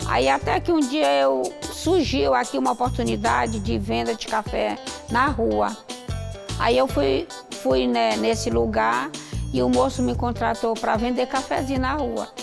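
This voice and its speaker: Gravelly Maranhão woman, fairly high voice, storytelling interview over music. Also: fairly even intonation, measured pace. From interior of Maranhão, Brazil. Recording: music underneath.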